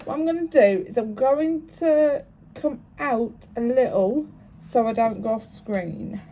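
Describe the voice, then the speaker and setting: Lady with a cold, sniffly, chatty craft tutorial, slowish and kinda high. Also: dark tone. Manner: chatty, apologetic, talks through each step.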